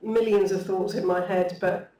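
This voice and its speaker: Flat-voiced panelist in an echoey room. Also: mid-range voice, moderate pace. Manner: eager, thoughtful, with fillers. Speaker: panel speaker. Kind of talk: panel discussion. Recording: roomy far-off mic with faint room noise.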